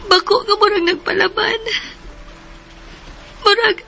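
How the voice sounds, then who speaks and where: Troubled young wife, radio drama, very high voice, bright, pleading. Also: female voice, steady tone, unhurried, creaky, faint music behind, exaggerated voice. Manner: pleading and tearful. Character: troubled young married woman haunted by a child's cry.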